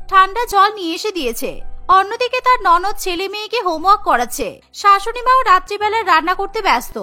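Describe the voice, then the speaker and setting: A woman's voice: very high voice, bright, forceful tone. A woman reading scripted narration for a cartoon story as its narrator.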